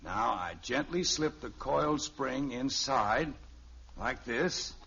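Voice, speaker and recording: A man's voice: medium pitch, animated, slow pace, creaky, bright, forceful tone, full character voice. An actor playing a stubborn, testy family father with a sardonic streak in a 1950s radio sitcom. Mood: concentrating. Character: stubborn, easily exasperated middle-class family father. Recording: dull, noisy old radio recording.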